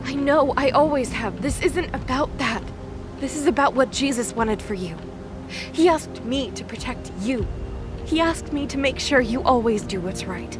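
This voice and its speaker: A woman's voice: high voice, moderate pace, music in the background, close to an everyday voice. A woman playing a stern, determined young warrior goddess in an audio drama, blunt and exasperated. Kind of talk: audio drama character acting.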